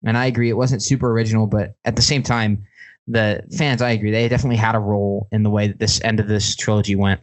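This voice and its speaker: Very fast-talking man, slightly low voice. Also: flat delivery. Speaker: podcast co-host. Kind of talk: casual film discussion.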